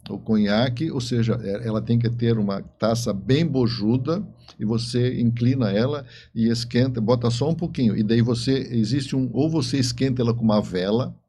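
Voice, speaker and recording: A man's voice: mid-range voice, normal speed, mellow. A man with a Brazilian accent chatting as a podcast guest, explaining things and often repeating words as he goes. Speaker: guest author. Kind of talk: casual podcast conversation.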